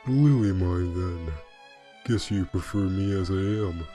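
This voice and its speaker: Man voicing a low, slow, deadpan skeleton reaper. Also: music in the background. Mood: fond, teasing. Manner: dry, deadpan, drawling pun delivery. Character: laid-back skeleton reaper, wry pun-loving male, darkly teasing. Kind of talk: fan comic dub voice acting.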